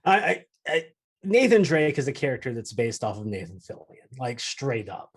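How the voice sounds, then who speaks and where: A man with a husky, medium-pitched voice co-hosts a podcast. He talks casually and without a script, as in a conversation among friends. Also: sing-song, moderate pace. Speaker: show co-host. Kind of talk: casual unscripted podcast chat.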